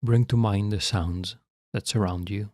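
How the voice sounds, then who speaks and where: A man leading a guided meditation as a guide, speaking in a calm, measured, instructional way with long pauses. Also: on the low side, no room sound.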